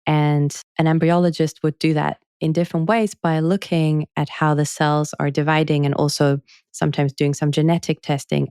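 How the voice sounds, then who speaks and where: A woman writer answering interview questions in an articulate, measured way, turning wry when she tells anecdotes. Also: low voice, darkish tone, no echo, studio quality. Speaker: author and journalist guest.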